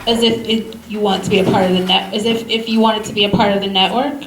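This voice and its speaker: A woman speaking on a community panel, measured and steady, with frequent ums. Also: mid-range voice. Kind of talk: panel discussion. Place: public event hall. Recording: echoey room, faint noise.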